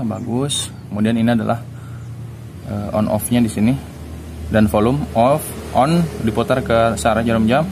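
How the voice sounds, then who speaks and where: A man giving a casual hands-on unboxing review, informal and halting as he searches for words. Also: medium pitch, noisy background. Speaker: product reviewer.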